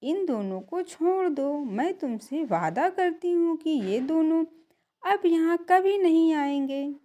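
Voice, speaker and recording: A woman plays a protective mother bird in a children's cartoon. She pleads earnestly in a high voice that rises and falls a great deal, without hurrying. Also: lively intonation, slow pace, warm tone, full character voice. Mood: pleading.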